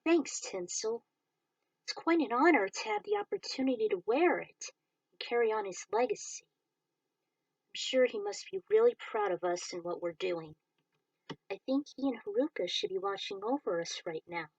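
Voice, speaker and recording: A woman's voice: mid-range voice, big pitch swings, moderate pace, darkish tone, slightly rough, no echo, far from the mic, rough recording. A woman voicing an earnest, wistful young male racer and bounty hunter in a story. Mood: earnest, grateful. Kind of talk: story character dialogue.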